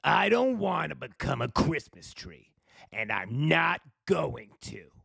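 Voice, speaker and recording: A man's voice: on the high side, sing-song, takes it slowly, gravelly, fairly bright tone, breathy edge, dry recording, clean studio sound, exaggerated voice. A man voicing a stubborn, grumpy little tree in a children's storybook. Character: grumpy, stubborn little tree that hates people, later softening.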